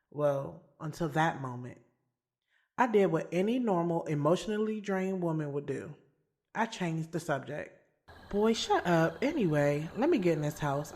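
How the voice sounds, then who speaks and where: A woman's voice: deep voice, darkish tone. A woman playing a sassy, candid young woman in an audio drama, telling her story in a casual, slangy way, swearing freely. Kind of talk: audio drama first-person narration and dialogue. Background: music.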